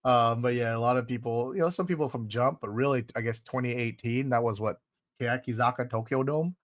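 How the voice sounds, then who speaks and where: A man's voice: medium pitch, brisk. A man co-hosting a podcast in casual chat, rambling with lots of fillers and dropping "dude" often; his first language was Vietnamese. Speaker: podcast co-host.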